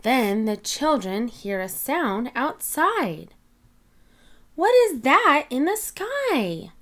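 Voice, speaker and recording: A woman reading a picture book aloud to young children, expressive, with clearly separated phrases. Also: high-pitched, drawn-out words. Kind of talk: animated read-aloud storytelling for young children.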